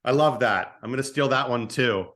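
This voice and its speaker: A man chatting casually as a writer guest in an interview, halting and thoughtful. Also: mid-range voice, fairly bright tone, slight background noise.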